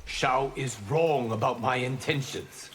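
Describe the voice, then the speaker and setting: An actor playing a calm, solemn, merciful guardian god in a video game, speaking in a measured, formal, earnest way. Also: male voice, medium pitch, a little hiss or hum, close to an everyday voice. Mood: firm.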